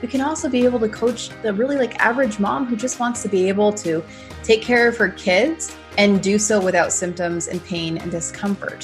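Encouraging woman podcast host. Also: mid-range voice. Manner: warm, encouraging, uses "like" a lot. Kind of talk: casual conversation and scripted outro.

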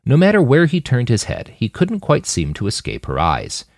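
Male fiction podcast narrator, highly animated delivery, dry intimate sound. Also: moderate pace, no echo. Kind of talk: scripted audiobook narration with character voices.

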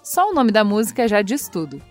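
Woman podcast host, mid pitch, affectionate scripted read, faint music bed. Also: sing-song, a little fast.

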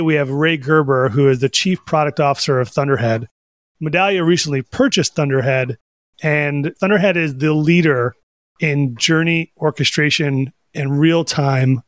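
Upbeat male podcast host, casual and eager, fairly high voice, dry close sound. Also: no room sound. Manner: enthusiastic, casual, uses fillers like "like". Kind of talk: podcast interview.

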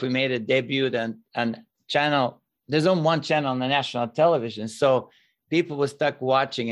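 An older man from Bulgaria with a Bulgarian accent, a guest minister telling his story as a lively storyteller with dry humor and a few grammar slips. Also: mid-range voice. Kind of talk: interview storytelling.

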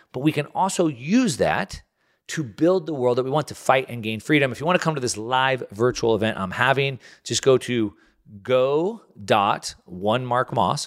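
A man's voice: mid-range voice, a bit bright. American man hosting his own show. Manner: conversational, restarts and fillers, earnest. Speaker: podcast host. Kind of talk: casual monologue, solo show.

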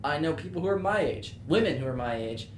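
A man's voice: a little high, animated, fast talker, a little hiss or hum. A young man chatting casually on a podcast, blunt and opinionated, with frequent swearing. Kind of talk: casual chat. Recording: echoey room.

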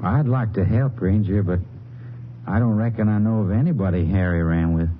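A man's voice: on the low side, moderate pace, close to an everyday voice. An American actor playing a nervous, evasive small-town hardware man in a 1950s western radio drama, with a folksy, hesitant and over-agreeable manner. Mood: uneasy, evasive. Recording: tinny, hissy 1950s radio recording.

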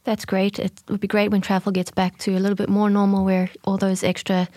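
A woman's voice: mid-range voice, completely flat delivery, brisk, mellow, no echo, clean studio sound. A woman with a South African accent co-hosting a podcast, chatting in a friendly way with frequent "um"s. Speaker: podcast co-host, marketing manager. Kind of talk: casual podcast chat.

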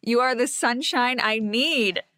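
A woman's voice: fairly high pitch, slow pace, sharp tone, no echo, studio quality. A woman hosting a podcast, reading an enthusiastic scripted intro and then chatting eagerly with her guest. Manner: gushing, enthusiastic. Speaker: podcast host.

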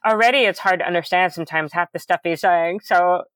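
Loud, enunciating woman, dry sound. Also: fairly low pitch, brisk, dry recording. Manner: loud, carefully enunciated speech; earnest, animated, many fillers. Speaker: guest. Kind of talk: candid conversational interview.

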